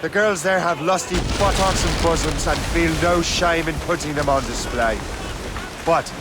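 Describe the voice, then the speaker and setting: An actor playing a cheeky, roguish young Irish sea captain in a video game cutscene, teasing and breezy. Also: male voice, a little high, normal speed, close to an everyday voice. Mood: teasing, curious. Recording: clear sea and ship ambience behind. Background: waves, wind and ship sounds.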